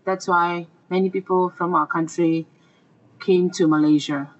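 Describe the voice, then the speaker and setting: A speaker from Myanmar, a refugee teacher, answering interview questions hesitantly while searching for words. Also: male voice, sits high, level tone, a little slow, dull audio.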